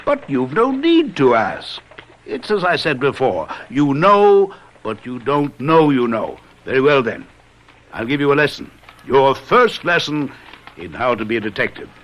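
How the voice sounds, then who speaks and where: An actor playing a brilliant, self-assured detective mentor in a radio drama, teaching in a deliberate, emphatic manner. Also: male voice, medium pitch, very animated, a bit bright, a little hiss or hum, poor audio. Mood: instructive, pointed.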